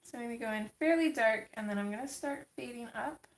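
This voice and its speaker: A woman hosting a casual livestream, chatting with viewers as she colors, her voice a little gravelly from just waking up. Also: a little high, quick pace, reverberant, slight background noise. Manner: chatty, reads and answers chat comments. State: slightly gravelly morning voice. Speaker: livestream host.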